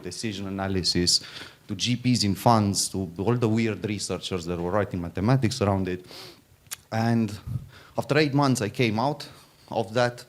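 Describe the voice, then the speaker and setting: A middle-aged man from Romania, giving an informal conference talk, often restarting and repeating words. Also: on the low side. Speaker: conference speaker, fund founder.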